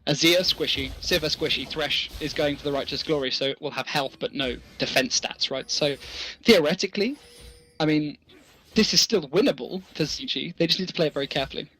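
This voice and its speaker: Higher-pitched man casting esports. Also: brisk, sharp tone, rough recording. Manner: conversational, explains with rhetorical questions. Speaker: esports commentator. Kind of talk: live play-by-play game commentary with analysis.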